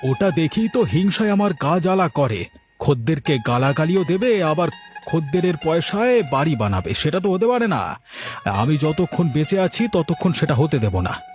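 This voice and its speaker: Guy doing a jealous indignant cartoon village man, kinda high pitched, bragging, bit of music behind. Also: big pitch swings, moderate pace, a little mellow. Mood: scheming, boastful. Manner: indignant, boastful, emphatic. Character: aggrieved, envious village man plotting a rival food stall. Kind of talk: animated moral story dialogue.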